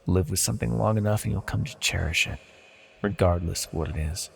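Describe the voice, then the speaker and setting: A man playing an ancient, possessive godlike villain in an intimate ASMR audio roleplay, patient and condescending, with menacing tenderness and a gravelly, husky voice. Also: sits low, fairly quick, exaggerated voice. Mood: cold, patient. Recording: long reverberant echo.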